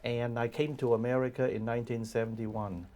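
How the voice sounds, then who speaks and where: A man around seventy, from Hong Kong, explaining history in a measured way with many fillers in a TV studio interview. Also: medium pitch, little pitch movement, warm tone. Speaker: guest commentator. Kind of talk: casual interview talk.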